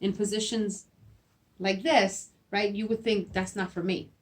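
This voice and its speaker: A woman's voice: mid-range voice, steady tone, measured pace. A middle-aged woman whose first language is Spanish, a school principal answering interview questions earnestly, often adding "right?" and repeating words as she thinks. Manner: earnest, repeats herself, frequent "right?" tags.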